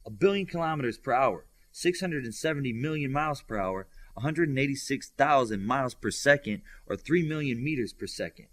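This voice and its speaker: A man's voice: medium pitch, lively intonation, a little fast, few pauses, lightly acted. Man reading as a precise, serious superhero girl. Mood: calm, precise. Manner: matter-of-fact, precise.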